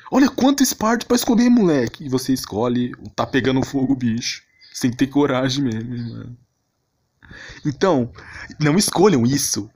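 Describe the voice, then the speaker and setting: A man's voice: a little high, breathy edge. A Brazilian man in a casual, rambling, mock-outraged rant of commentary, full of slang. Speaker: video creator. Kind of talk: casual ranting commentary on anime characters. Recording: noisy home recording with clicks.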